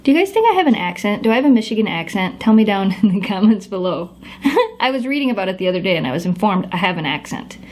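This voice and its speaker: A woman's voice: mid-range voice, sing-song, quick pace, darkish tone. A woman hosting a casual home cooking how-to in her kitchen, chatting to her dogs as she works. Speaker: home cook demonstrating a recipe.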